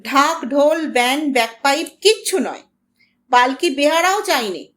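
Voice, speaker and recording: A woman's voice: sits high, lively intonation, normal speed, fairly bright tone. A woman voicing a stubborn, terse village bridegroom in an audio story, curt and defiant.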